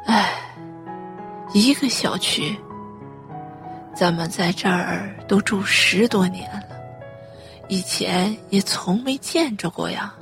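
A woman acting a frail, ailing mother in a Chinese radio drama, gentle and weary, with a breathy delivery. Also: medium pitch, takes it slowly, long pauses, music in the background. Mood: tired, kindly.

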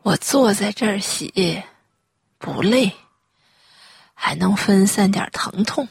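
A woman acting a frail, ailing mother in a Chinese radio drama, gentle and weary, with a breathy delivery. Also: mid-range voice, lively intonation, slow, dry recording, studio quality, heavily acted. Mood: tired, kindly.

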